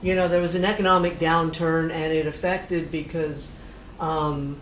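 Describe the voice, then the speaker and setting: Mature American woman, informal family historian, detailed with searching pauses, noisy echoey room. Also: low-pitched, little pitch movement. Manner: detailed, pausing to recollect. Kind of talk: casual explanatory family history talk. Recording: echoey room with clear noise.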